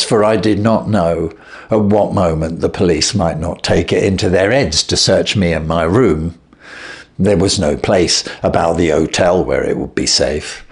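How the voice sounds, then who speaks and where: A man reading aloud and voicing a frightened male thief who begs for mercy and then confesses. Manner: shrill, begging, then steady confession. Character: desperate male hotel thief, pleading and frightened. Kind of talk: reading a classic story aloud.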